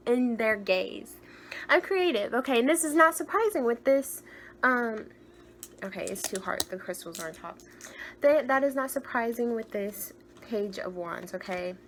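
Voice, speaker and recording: A young woman with a naturally higher voice reads tarot cards in a relaxed, chatty way. She gushes over what she finds and drops to soft murmurs when she sets her intention. A faint hiss sits under the close recording. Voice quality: higher-pitched voice. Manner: chatty and gushing, trails off with soft murmured asides. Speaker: tarot reader. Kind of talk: casual tarot reading.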